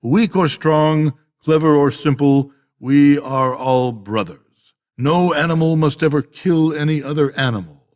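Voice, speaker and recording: An older man voicing an old boar, a solemn, rousing elder of farm animals, giving a measured, emphatic storybook speech. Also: on the high side, a little mellow, close to an everyday voice. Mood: solemn, commanding. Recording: long hall-like reverb.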